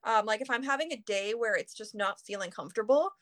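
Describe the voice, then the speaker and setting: A woman's voice: on the high side, quick pace, a bit bright. A woman in her early twenties from Canada, a singer chatting casually as a guest in an interview and leaning on "like" between phrases. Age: early 20s. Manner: chatty, heavy use of "like" fillers.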